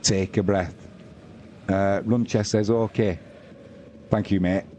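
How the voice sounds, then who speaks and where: A man's voice: on the low side, steady tone, takes it slowly, warm tone, dull audio, slight background noise. A man doing informal, matey live rugby league commentary on a stream, mixing play-by-play with chatty asides to viewers. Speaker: sports livestream commentator and host.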